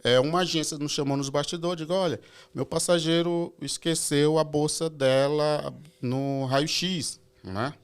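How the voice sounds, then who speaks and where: A man talks through his airport support business in a relaxed podcast chat. He hesitates often, restarting sentences and filling pauses as he explains. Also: a little high, clear, resonant tone. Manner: hesitant, many filler "é"s and restarts. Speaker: airport assistance company representative. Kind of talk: casual podcast conversation.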